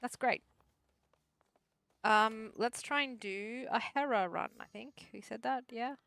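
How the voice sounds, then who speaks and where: A woman's voice: on the high side, slow pace, creaky, fairly bright tone. A woman chatting casually on a gaming livestream, thinking aloud as she talks herself through choices. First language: English. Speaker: streamer, therapist answering questions.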